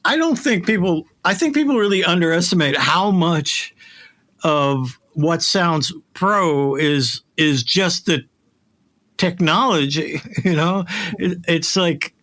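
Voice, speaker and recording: A man's voice: a little high, animated, slow pace, a bit bright. A middle-aged man talking shop casually as an audio producer, in an emphatic, conversational way.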